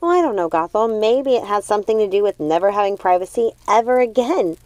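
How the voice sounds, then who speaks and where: A moody young storybook girl, put on by a woman reader, sarcastic and dramatic. She speaks in a raised pitch with a huffy edge, close to the mic. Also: lively intonation, fast talker, a little mellow, no echo, natural voice. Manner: sardonic, huffy.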